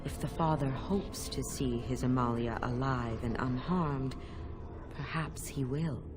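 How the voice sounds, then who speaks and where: A woman's voice: a bass voice, measured pace. A woman voicing a sly female demon that speaks as a talking cat, silky and coldly formal. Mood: scheming. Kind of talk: video game cutscene voice acting. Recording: game audio with music behind.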